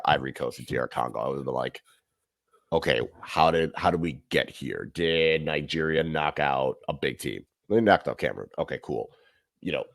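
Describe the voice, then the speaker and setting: A man co-hosting a sports podcast in casual chat, relaxed and measured, acting out reactions as quotes. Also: a bass voice, no room sound, studio quality. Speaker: podcast co-host.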